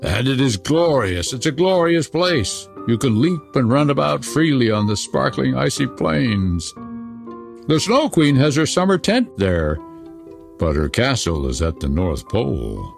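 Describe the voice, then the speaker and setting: A man's voice: medium pitch, sing-song, a little slow, vocal fry, soft background music, voice pushed up. A man voicing a proud, eager reindeer in a storybook reading, speaking with enthusiasm.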